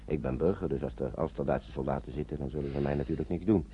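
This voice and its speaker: A man's voice: a bass voice, fast talker. An actor playing a polite, eager refugee father who fusses, repeats himself and defers to others in a radio play. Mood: quiet, earnest. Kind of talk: naturalistic radio drama acting. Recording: muffled, noisy old radio drama recording.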